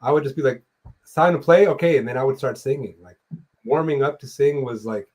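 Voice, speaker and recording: A man's voice: medium pitch, fairly quick. Laid-back rambling male host. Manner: relaxed, rambling, swears casually. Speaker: podcast host and musician. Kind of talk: casual interview chat. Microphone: remote streaming call mic.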